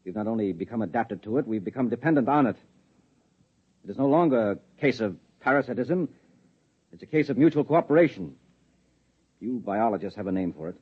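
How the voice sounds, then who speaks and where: A cool, secretive husband who explains dark truths in a calm, patronizing lecture. His husky, mid-pitched voice sits in a dull, lo-fi 1950s radio recording. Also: fairly quick, pauses often. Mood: lecturing. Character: controlled husband hiding a secret, a security man. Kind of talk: 1950s radio drama acting.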